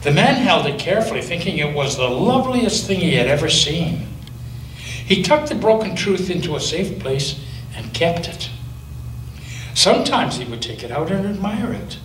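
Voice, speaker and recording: A male pastor reading a picture book aloud to children, gently and with slow dramatic pauses. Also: fairly high pitch, very animated. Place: church sanctuary. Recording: echoey church from a distance, faint noise.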